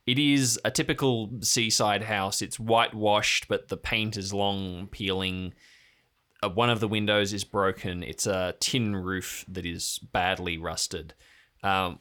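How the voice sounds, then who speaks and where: A man narrating an improvised tabletop game as game master, setting scenes in deliberate, descriptive phrases. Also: fairly low pitch, sharp tone.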